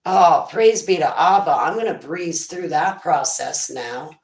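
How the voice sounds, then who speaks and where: A woman with a raspy voice chats on her live stream in a loose, wandering way. She takes her time over each thought. Her manner is unhurried and conversational. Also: low-pitched, animated. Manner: rambling, faith-filled musings. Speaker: internet radio host. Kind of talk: casual livestream chat.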